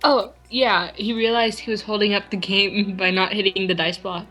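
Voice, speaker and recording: A woman's voice: medium pitch, steady tone, normal speed. A woman doing a casual dramatic reading with friends, giggly and often breaking off to comment on the text. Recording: music playing behind the voice. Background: music.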